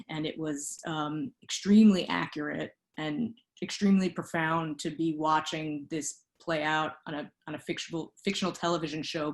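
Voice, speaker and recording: Interview guest: thirties woman, low-pitched, thoughtful, filler-heavy delivery. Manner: reflective, with many fillers. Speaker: guest, lighting designer. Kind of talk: conversational interview.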